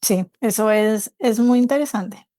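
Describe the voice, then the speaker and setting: Higher-pitched woman hosting a reflective chat. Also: measured pace, dark tone. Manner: reflective, encouraging, acts out inner dialogue in quotes. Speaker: podcast host. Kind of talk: intimate conversational interview.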